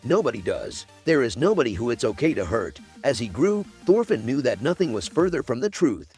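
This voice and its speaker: A man's voice: mid-range voice, big pitch swings, fairly quick, a little mellow, soft background music. A man hosting and narrating a scripted countdown video.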